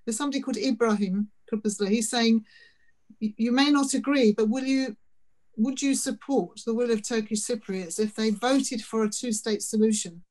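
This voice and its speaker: A woman's voice: on the high side, normal speed, a little mellow, poor audio. A woman moderating an online panel, stepping in politely and apologetically to pass on audience questions over a video call.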